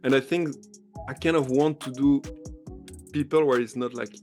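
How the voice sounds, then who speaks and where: A man's voice: mid-range voice, fairly even intonation, moderate pace, a little mellow, soft background music. A man in his thirties with a French accent, chatting eagerly as a podcast guest, often laughing and restarting his sentences. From France. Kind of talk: casual interview chat.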